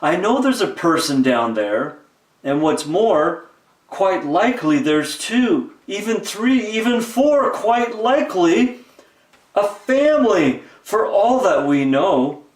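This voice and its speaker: A woman voicing a big, kindhearted male elephant from a storybook, speaking earnestly in a low, full voice. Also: sing-song, a little mellow, reverberant. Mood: earnest pleading. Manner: slow, earnest, emphatic.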